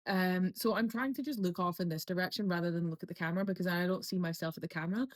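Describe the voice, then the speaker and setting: A woman's voice: on the low side, steady tone, quick pace. A woman in her twenties with a posh Scottish accent, chatting casually and rambling through a vlog. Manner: rambling, chatty, lots of fillers. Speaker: vlogger. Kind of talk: casual talk to camera.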